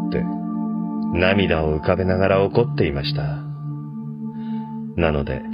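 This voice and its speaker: A man's voice: sits low, dull audio. A man playing an earnest, desperate former employee, politely pleading in an audio drama letter monologue. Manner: polite, pleading, increasingly overwrought. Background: background music.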